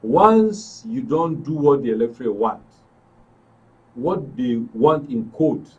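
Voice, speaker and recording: A man's voice: mid-range voice, very animated, slow, darkish tone, slightly rough, dull audio. A man with a Nigerian accent giving political commentary as a guest, repeating phrases for emphasis. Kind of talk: political discussion. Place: radio and TV studio. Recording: distant mic, faint background noise.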